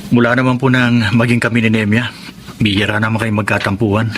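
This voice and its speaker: An actor playing an earnest, polite young suitor and dutiful son in a Filipino radio drama. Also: male voice, fairly low pitch, monotone, fast talker, fairly bright tone, slight background noise, close to an everyday voice. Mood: troubled, hesitant.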